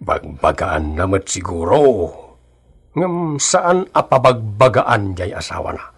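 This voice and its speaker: A man's voice: fairly low pitch, big pitch swings, slow, creaky, husky, full character voice. A man acting a gossipy, opinionated husband chatting earnestly in a radio drama. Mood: disapproving.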